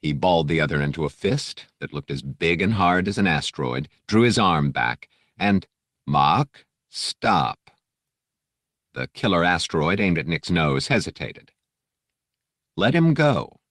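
A man narrates an adventure audiobook in an extremely low voice. The reading is scripted storytelling, captured close with a dry sound. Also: lively intonation, normal speed, a bit bright, no room sound.

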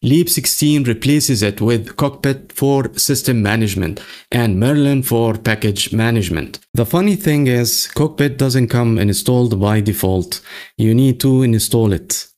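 A man in his forties talks through a scripted software review at an unhurried, steady pace, each point set down with care. His voice sits at an ordinary middle pitch for a man. He records at his desk on a mic in front of a webcam. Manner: slow, measured, deliberate delivery. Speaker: tech YouTuber. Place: small room at a desk, gaming chair.

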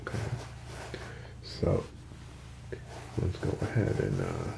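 A man in his thirties speaking softly and soothingly in an ASMR hairdresser roleplay, hushed and reassuring. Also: rumbling low, wildly swooping pitch, takes it slowly, creaky, background noise. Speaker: ASMR performer playing a hairdresser. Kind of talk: ASMR roleplay, soft-spoken. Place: small indoor room with salon products.

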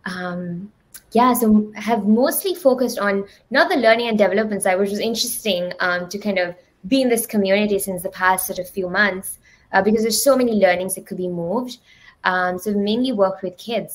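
A young woman from Sri Lanka talks about her work on a video call. She speaks softly, with many ums and hedges. Her voice is picked up by a laptop mic in a room at home. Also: mid-range voice, poor audio. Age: young adult. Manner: soft-spoken, many ums and hedging "sort of". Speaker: guest, learning anthropologist. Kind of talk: casual video-call chat.

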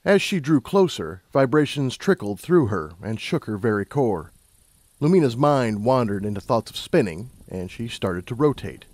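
A man narrating a scripted story as an audiobook narrator, in a measured, dramatic storytelling manner. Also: mid-range voice, sing-song, darkish tone, no room sound.

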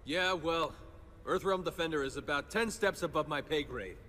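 An actor playing a skeptical soldier in a video game, blunt, colloquial and sardonic. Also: male voice, a little high, normal speed, bright, forceful tone. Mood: wary, skeptical. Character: brash, skeptical male soldier, gruffly practical. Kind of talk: video game cutscene acting.

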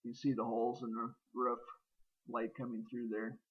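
A man's voice: medium pitch, monotone, normal speed, lo-fi sound. A middle-aged man narrating a casual walkthrough of his old barn, hesitant and rambling with many ums and uhs, recorded on a handheld camera outdoors and inside the barn. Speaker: homesteader filming his barn.

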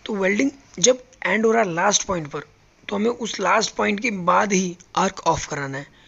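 A man's voice: high-pitched, normal speed, vocal fry, a bit bright, breathy edge, lo-fi sound. A man teaching robot welding step by step, explaining each button as he presses it on a factory floor. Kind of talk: hands-on tutorial.

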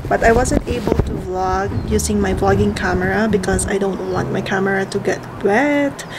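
Slow-talking woman vlogger, gravelly voice. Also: medium pitch, background noise. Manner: chatty, addresses viewers as guys. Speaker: travel vlogger. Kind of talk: casual travel vlog. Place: hotel room indoors, then balcony outdoors. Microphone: handheld camera at arm's length.

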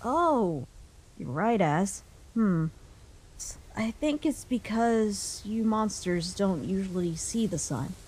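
A woman's voice: medium pitch, expressive, slow, a little mellow, slightly rough. A woman voicing a bossy, smug human child in a comic dub, sardonic and teasing. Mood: thoughtful, smug. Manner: sardonic, sassy.

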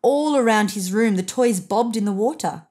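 A woman narrating a children's picture book expressively, with deliberate emphasis on key phrases. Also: medium pitch, darkish tone.

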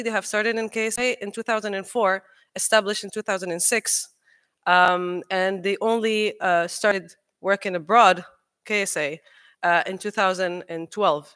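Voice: medium pitch, pushed and bright. A young adult from Morocco, giving an earnest, persuasive conference talk from a podium, with frequent ums and uhs. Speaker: conference speaker, engineer. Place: large auditorium stage. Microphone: podium microphone.

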